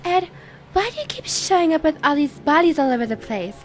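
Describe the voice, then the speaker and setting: A woman's voice: high-pitched, very animated, fairly quick. A woman playing a policeman's wife, exasperated yet curious, in a noir radio drama. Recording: music playing behind the voice.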